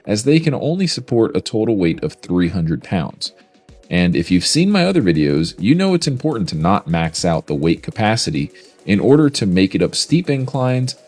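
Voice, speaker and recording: Guy in his 30s, kinda husky, low voice, bouncy upbeat delivery, soft music under it. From Florida, USA. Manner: upbeat, salesy, lively intonation. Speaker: mobility scooter company presenter. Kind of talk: scripted product video presentation.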